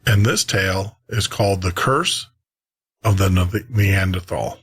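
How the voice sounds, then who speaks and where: A man hosting a late-night podcast, presenting casually with measured pauses. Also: deep voice, breathy edge. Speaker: podcast host.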